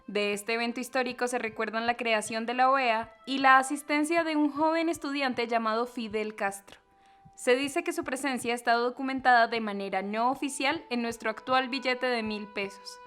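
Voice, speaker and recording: Female documentary narrator, voice a little high, scripted read over faint music. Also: steady tone, moderate pace, fairly bright tone, clear, resonant tone.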